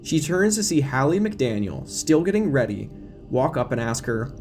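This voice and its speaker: A man's voice: mid-range voice, sing-song, normal speed, a little mellow. Man narrating an audio drama over music. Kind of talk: scripted dramatic narration reading all parts. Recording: music under the voice.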